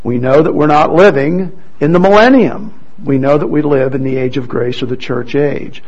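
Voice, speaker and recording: A man leading a church service, explaining things deliberately to a congregation over a microphone. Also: on the high side, a little mellow, highs cut off. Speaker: church service leader introducing readers. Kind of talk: addressing a congregation, explanatory announcements.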